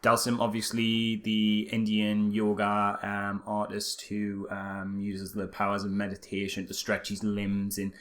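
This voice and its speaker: A man's voice: fairly low pitch, monotone, a little slow, few pauses, fairly bright tone. Sarcastic man chatting about films. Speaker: podcast co-host. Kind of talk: casual film critique chat.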